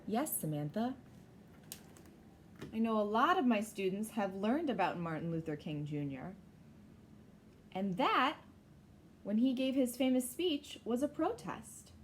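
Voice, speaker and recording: A woman's voice: fairly high pitch, very animated, unhurried. Woman teacher reading aloud, noisy recording. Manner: explains simply, as to young children. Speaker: teacher reading a picture book to a class. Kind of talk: read-aloud with explanatory asides. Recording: noisy background.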